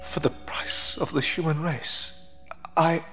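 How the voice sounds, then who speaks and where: A man's voice: a little high, fairly even intonation, slow. A man playing a loyal, grieving hero in an audio horror drama, with an earnest, solemn and dramatic delivery. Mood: grave resolve. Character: grieving, self-sacrificing, loyal man defying a monster. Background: music.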